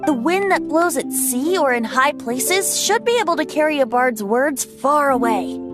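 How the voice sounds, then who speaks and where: A woman's voice: sits high, expressive, moderate pace, music in the background. A woman voicing a playful, whimsical young bard boy in a video game, with a lilting theatrical delivery. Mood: thoughtful.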